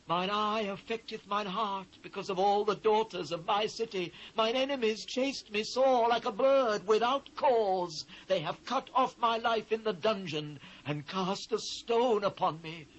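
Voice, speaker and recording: Anguished biblical prophet, slow solemn declamation, impassioned, dramatised scripture, faint cassette noise. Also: male voice, high-pitched, fairly bright tone, low-quality recording. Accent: British. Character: anguished male biblical prophet lamenting his ruined people.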